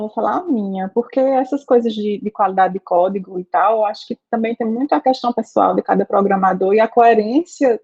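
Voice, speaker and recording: A woman's voice: mid-range voice, darkish tone. A Brazilian woman teaching a programming class, talking things through with students and checking in with "né?" and "tá?". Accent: Brazilian Portuguese. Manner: conversational, frequent "né?" and "tá?" checks. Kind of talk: live online class, asking students questions. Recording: slightly dull lo-fi audio.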